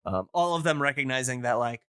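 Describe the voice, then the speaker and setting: A man co-hosting a casual podcast discussion, explaining things in a halting, digressive way. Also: mid-range voice, fairly bright tone, clean tone, dry recording, clean studio sound. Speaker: podcast co-host explaining radio history.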